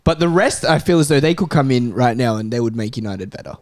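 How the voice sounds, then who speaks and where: A man's voice: medium pitch, lively intonation, fast, dry recording, studio quality. A man arguing his opinion in casual, informal chat as a football panellist.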